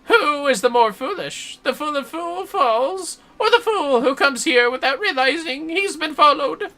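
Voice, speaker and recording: Woman acting out a male shinobi game villain, raised pitch, swooping delivery, taunting, grandiose, gleeful. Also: expressive, measured pace, bright, forceful tone, exaggerated voice. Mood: mocking. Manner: grandiose, taunting, talks in third person. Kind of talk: theatrical game dialogue read aloud.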